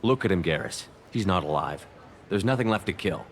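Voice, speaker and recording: A man playing a calm, firm military commander in a video game, with a controlled, reasoning delivery. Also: sits low, a little fast, slight background noise. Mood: persuasive. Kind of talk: video game dialogue acting.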